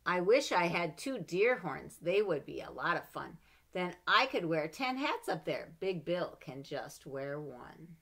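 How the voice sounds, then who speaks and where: Middle-aged woman, lowish voice, lively storybook reader with playful asides, home webcam. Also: measured pace. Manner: expressive, animated read-aloud with playful asides. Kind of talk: reading a children's picture book aloud. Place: home room with bookshelves. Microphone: webcam at desk.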